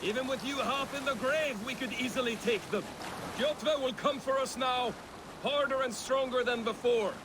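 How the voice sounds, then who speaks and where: A man's voice: extremely high pitch, moderate pace, background noise. An actor playing a blunt, crude but loyal Viking crewman in a video game, sardonic and needling. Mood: grim banter. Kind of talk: video game cutscene acting.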